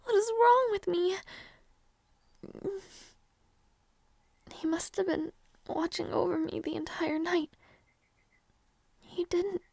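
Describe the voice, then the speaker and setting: A woman playing a frail, gentle young princess in an intimate fantasy audio roleplay, speaking in a breathy, hesitant, softly formal way. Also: very high voice, measured pace, no room sound, full character voice. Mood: groggy, self-doubting. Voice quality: breathy, whispery.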